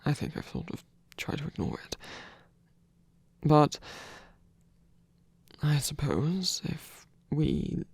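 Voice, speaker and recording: Casting: male actor as insecure, tender trickster god for ASMR audio roleplay; very slow, hesitant, breathy murmur with creaky edges, close-miked. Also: fairly high pitch, huge pitch swings, a little mellow, dry recording, full character voice. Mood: reflective, uneasy. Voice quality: breathy, whispery. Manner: hesitant, halting, stammering. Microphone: close microphone, dry.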